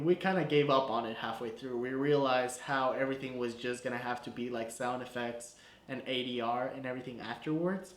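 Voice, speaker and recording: Chatty young man in an echoey room. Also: mid-range voice. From Guatemala. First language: Spanish. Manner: relaxed, chatty, full of "like" and "you know". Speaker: filmmaker. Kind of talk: casual breakdown of his short film.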